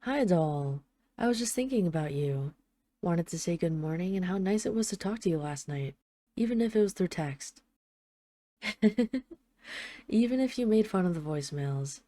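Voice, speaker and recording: A woman's voice: lowish voice, expressive, normal speed, no room sound, natural voice. A woman playing an affectionate, wry mafia boss who misses her partner, speaking in an intimate, conversational roleplay monologue like a voicemail. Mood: fond, teasing. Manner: conversational, affectionate, gently self-deprecating, wry. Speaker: audio roleplay voice actor.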